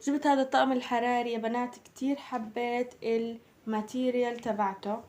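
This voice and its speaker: A young woman with a Palestinian Arabic accent, chatting casually through a shopping haul, her voice slightly hoarse from a cold. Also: a little high, little pitch movement, a little fast, darkish tone. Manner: chatty, addresses viewers as girls. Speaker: vlogger.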